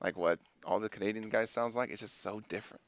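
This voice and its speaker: Man, Texan accent, esports co-commentator, relaxed banter, dry sound. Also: lowish voice, fast talker, no room sound. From Texas.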